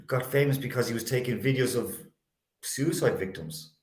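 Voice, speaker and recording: A man hosting a sports panel discussion, speaking in halting, stop-start phrases with repeated words. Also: mid-range voice. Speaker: sports show host. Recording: roomy, echoey sound.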